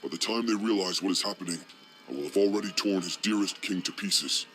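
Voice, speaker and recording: A man voicing a scheming demon warlord in a game cutscene, speaking in a smug, slow, menacing manner. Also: low voice, a bit bright, soft background music. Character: scheming male demon warlord, cold and commanding. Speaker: villain leader.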